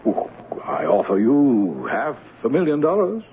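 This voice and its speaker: A wildly swooping radio-drama swindler. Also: male voice, medium pitch, huge pitch swings, a little slow, crowd noise. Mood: smooth, persuasive. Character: smooth-talking swindler, persuasive, grandiose schemer. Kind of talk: 1970s radio drama acting. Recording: noisy, tinny 1970s radio recording.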